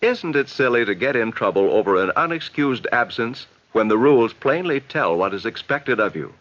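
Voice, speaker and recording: An American man reading scripted, instructive narration in a measured, advising way for a 1960s educational film. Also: mid-range voice, animated, a bit bright. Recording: dull vintage 1960s film soundtrack.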